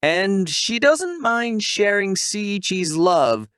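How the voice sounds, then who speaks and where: Man narrating with animated, swooping pitch. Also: slow pace, smooth, no room sound, studio quality. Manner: wisecracking, conversational asides to the listener. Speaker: narrator of an anime recap. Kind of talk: scripted comic story recap narration.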